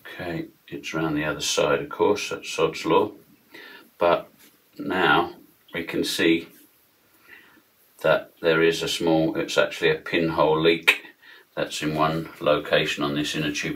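A man in his fifties giving a measured, steady product demonstration with frequent ums, in a small room lined with acoustic foam, recorded on a close camera at a desk. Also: extremely low pitch, rough recording.

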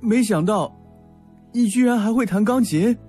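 An actor playing a playful, affectionate young male student who gently teases in an audio drama. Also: high-pitched, moderate pace, faint music behind, lightly acted. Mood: teasing, admiring. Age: young adult.

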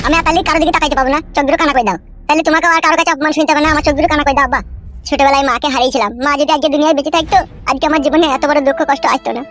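A man acts out a hapless young cartoon son from a village. He pleads melodramatically in a high, very rapid voice, with faint music underneath. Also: a bit bright. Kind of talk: comic cartoon dialogue.

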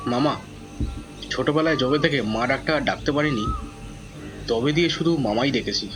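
A man's voice: mid-range voice, normal speed, natural voice. A man playing a devoted young nephew who narrates a horror audio drama in a dramatic, scripted style. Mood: earnest, devoted. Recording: dull lo-fi, music behind.

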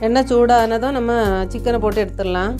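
A woman's voice: a little high, brisk, over music. A woman narrates a recipe step by step in a plain, homely, colloquial way. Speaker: home cooking channel host.

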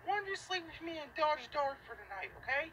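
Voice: high-pitched, animated, quick pace, pushed and bright, dull audio. A performer voicing a soothing, caring cartoon pal who looks after a dog, on a noisy recording. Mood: soothing, helpful.

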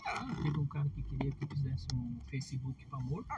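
An adult giving blunt casual advice in a quick, animated way. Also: mid-range voice, muffled recording. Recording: distant, heavy background noise.

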